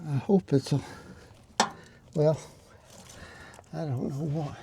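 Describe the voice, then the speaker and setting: A man's voice: on the high side, steady tone, slow, very noisy. A man casually narrating outdoors as he works on his property, hosting his own vlog. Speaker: vlog host doing outdoor property work. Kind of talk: casual narration while working.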